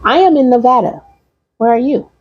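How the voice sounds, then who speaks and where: A woman's voice: a little high, expressive, a little fast, slightly rough, no echo, muffled recording. A woman from Michigan, a holistic practitioner, wrapping up her livestream class in a rambling, repeating way and talking to viewers. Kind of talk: casual livestream class wrap-up.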